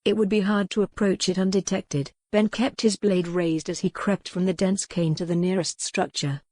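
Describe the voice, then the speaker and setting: A woman's voice: lowish voice, level tone, normal speed, warm tone, no echo. A woman reading scripted dramatic fiction as an audiobook narrator.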